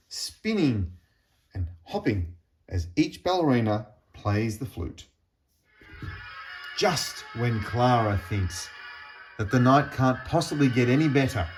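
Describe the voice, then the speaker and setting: A man reading a children's storybook aloud in an expressive, deliberate storytelling manner with pauses. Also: mid-range voice. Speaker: storybook reader.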